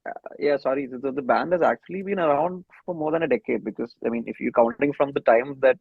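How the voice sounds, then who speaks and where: A man's voice: medium pitch, fast talker, creaky, breathy edge, no echo. A man with an Indian accent, a band musician recounting things casually in an interview. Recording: thin phone-like line, dry.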